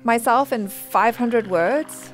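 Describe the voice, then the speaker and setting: A woman's voice: fairly high pitch, slow, over music. A young woman giving casual reaction commentary. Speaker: reaction show host.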